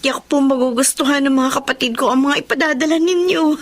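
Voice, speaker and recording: An actress playing a trusting young Filipino housemaid in a Tagalog radio drama, polite, earnest and emotional. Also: female voice, high-pitched, brisk, lightly acted. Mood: grateful, polite. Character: trusting, hopeful young housemaid supporting her siblings.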